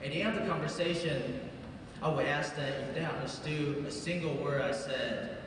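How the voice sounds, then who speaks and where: A man's voice: a little high, normal speed. Young guy w/ doing a grad speech, funny self-mocking stories, big echoey hall. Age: young adult. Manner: storytelling, self-mocking humor. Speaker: graduating student speaker. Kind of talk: commencement speech. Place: large arena graduation ceremony. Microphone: podium mic heard from far off. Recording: echoey arena, distant mic, hiss.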